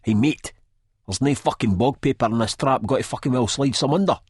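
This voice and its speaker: An actor voicing a menacing, foul-mouthed Scottish hard man with a gruff, aggressive delivery in an audiobook. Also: mid-range voice, a little fast, darkish tone, dry recording, clean studio sound, pitched above the performer's own voice, close to an everyday voice.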